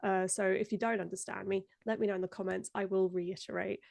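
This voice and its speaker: A woman's voice: medium pitch, flat delivery, quick pace, creaky, a little mellow, no room sound. A young woman, a video editor guesting on a live stream, chatting casually and laughing often while sounding stuffed up from a cold. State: congested, stuffed up.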